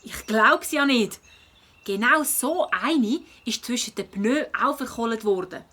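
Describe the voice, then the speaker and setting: Woman playing a fairly high-voiced Swiss German schoolgirl sleuth. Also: lively intonation, takes it slowly, pushed and bright, full character voice. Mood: surprised, keen. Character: spirited young schoolgirl detective, curious and determined. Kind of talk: children's audio play acting.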